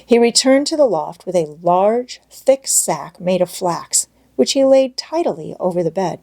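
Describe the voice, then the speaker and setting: A woman reading a children's classic aloud as scripted storybook narration, in a measured, expressive manner. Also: on the low side, gravelly. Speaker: audiobook narrator.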